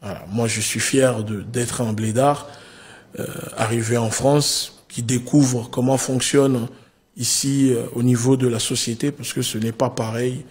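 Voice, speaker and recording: A man who grew up in Africa speaks as a guest in an interview. His voice is husky and flat in pitch. He halts often, filling gaps as he searches for his words. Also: unhurried. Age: adult father. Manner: hesitant, many fillers and restarts. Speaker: guest, spokesman of a movement. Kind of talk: casual interview talk.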